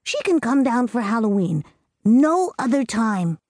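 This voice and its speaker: A woman's voice: a little high, very animated, measured pace, darkish tone, dry recording, studio quality, voice pushed up, exaggerated voice. A woman doing a bossy little girl, Amy. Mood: earnest. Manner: earnest, commanding, a bit solemn. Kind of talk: storybook reading.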